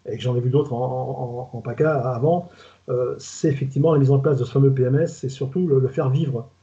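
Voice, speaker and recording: A man's voice: medium pitch, dark tone. An older man with a southern French accent, a retired food safety inspector, giving rambling, colloquial advice on a video call. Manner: fast, rambling, colloquial, stumbles and repeats words. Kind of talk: casual webinar talk. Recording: dull lo-fi video call audio.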